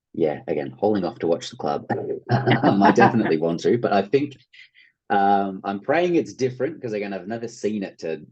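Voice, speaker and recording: A man's voice: sits low, a little mellow, slightly rough, dull audio. An Australian man answering interview questions in a thoughtful, discursive, conversational way. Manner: thoughtful, discursive, hedging phrasing. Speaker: interview guest, playwright and actor.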